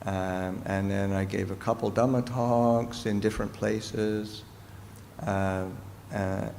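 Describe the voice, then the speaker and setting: A middle-aged Canadian-raised monk answers questions slowly and thoughtfully, pausing often. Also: male voice, on the low side, fairly even intonation, a little mellow. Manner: slow, halting, thoughtful speech with pauses. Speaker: Buddhist monk and teacher. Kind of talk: Dharma talk Q&A answer. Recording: reverberant hall with faint noise.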